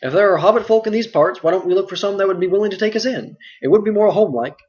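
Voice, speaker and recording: A man's voice: sits high, very fast, a little mellow, voice pushed up, lightly acted. A man voicing a homely male hobbit who speaks in a pleading, wistful way, on a dull lo-fi recording.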